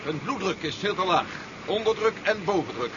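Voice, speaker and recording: An actor playing a suspicious, self-assured army general in a 1970s science fiction radio play. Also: male voice, high voice, lively intonation, normal speed. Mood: urgent, suspicious. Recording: dull, noisy 1970s radio drama recording.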